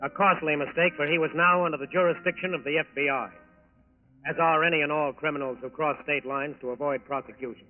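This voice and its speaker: A man's voice: fairly high pitch, steady tone, fast. Portentous male narrator on tinny old radio. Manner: emphatic, portentous. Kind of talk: dramatic scripted narration, 1940s radio. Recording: tinny 1940s radio recording.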